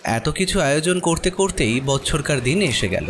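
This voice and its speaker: A man's voice: fairly high pitch, animated, moderate pace, slight background noise. A man narrating a horror story expressively from a script.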